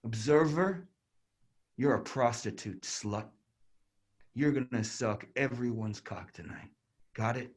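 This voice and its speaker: A man's voice: high-pitched, sing-song, moderate pace, fairly bright tone. A man playing a brutal, sneering riot cop. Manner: vulgar, barking orders, taunting. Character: brutal, sneering male riot police officer.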